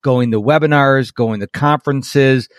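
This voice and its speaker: A man's voice: mid-range voice, unhurried, no room sound, studio quality. A middle-aged man hosting a podcast interview, chatty and self-deprecating, telling personal stories. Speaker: podcast host. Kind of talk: casual interview chat.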